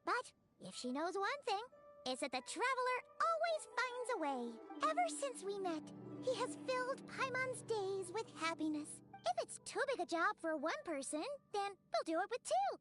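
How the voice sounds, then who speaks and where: A woman voicing a small, chirpy female fairy companion who talks about herself in the third person, in a high, squeaky, childlike voice. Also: sing-song, moderate pace. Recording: game audio with loud music behind.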